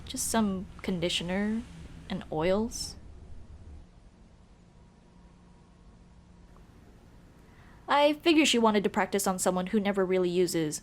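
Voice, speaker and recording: A woman's voice: medium pitch, a little hiss or hum, natural voice. A woman playing a young tomboy childhood friend, casual, teasing and caring, in a scripted ASMR roleplay. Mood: relaxed, teasing. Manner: casual, teasing, addresses listener as dude. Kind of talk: ASMR roleplay, scripted one-sided conversation.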